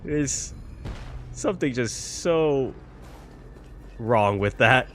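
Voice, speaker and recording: A man's voice: mid-range voice, lively intonation, extremely slow, slight background noise. A man giving casual reaction commentary while gaming, rambling, repeating phrases and swearing casually. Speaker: gaming content creator.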